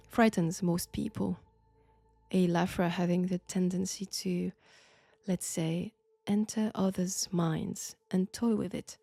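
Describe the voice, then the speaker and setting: A woman with a French accent playing a sinister, flirtatious archaeology professor possessed by an ancient god, deliberate and teasing in an intimate audio roleplay. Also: mid-range voice, gravelly, mellow, dry recording. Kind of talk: slow, intimate roleplay acting.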